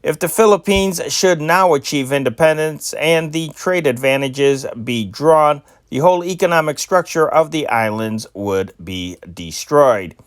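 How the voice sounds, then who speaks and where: A man's voice: a little high, a little slow, few pauses, clear, resonant tone, dry recording, studio quality. A man hosting a channel, reading old newspaper stories aloud in an amateur way with occasional stumbles and restarts. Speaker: newspaper reader and channel host.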